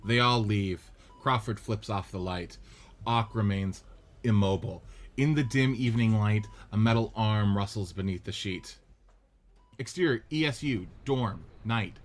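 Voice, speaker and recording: A man narrating the stage directions of a radio play script in a steady, descriptive way. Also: on the low side, a little slow, faint music behind.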